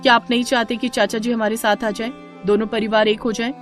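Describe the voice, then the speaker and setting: A woman's voice: fairly high pitch, little pitch movement, fast talker, soft background music, close to an everyday voice. A woman playing a caring, determined young daughter-in-law in a cartoon family story, coaxing and insistent.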